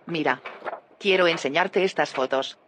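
A woman's voice: deep voice, a little slow, slight background noise. An actress playing an earnest, cautious female researcher in an audio drama, explaining in a serious tone. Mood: earnest, insistent.

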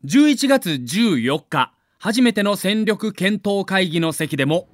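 A man's voice: high voice, animated, slow, dry recording. A man narrating a scripted documentary as a story, with dramatic emphasis.

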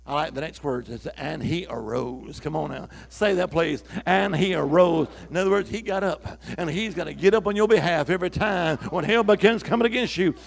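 A man's voice: sits high, a little fast, vocal fry, few pauses. A man preaching a sermon to a church, calling out to the congregation for responses and building to emphatic peaks. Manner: call-and-response preaching, rising to emphatic shouts.

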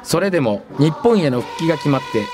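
An actor playing an earnest, courteous 1950s radio field reporter in a radio drama, speaking politely and carefully. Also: male voice, on the high side, very animated, a little fast, a little mellow, soft background music. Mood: formal, earnest. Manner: polite, formal, carefully enunciated.

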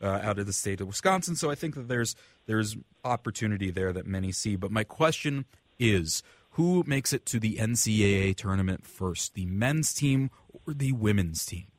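Male American sports show host, fairly low register, conversational question-setter, dry studio-style sound. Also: expressive, moderate pace, no echo. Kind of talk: conversational sports talk, posing questions to panelists.

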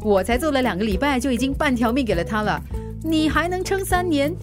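An actress playing a harried, plucky working mother with a Singaporean accent, expressive and earnest in a radio drama. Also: sits high, a little fast, music in the background. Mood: friendly. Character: harried, plucky working mother turned food delivery rider.

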